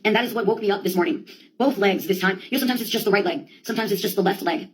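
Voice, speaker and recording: Fast-talking, theatrical woman storyteller. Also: medium pitch, a little hiss or hum, rough recording. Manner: sweary, theatrical, slangy delivery with quoted outbursts. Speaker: monologuist. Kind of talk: rambling comic storytelling monologue.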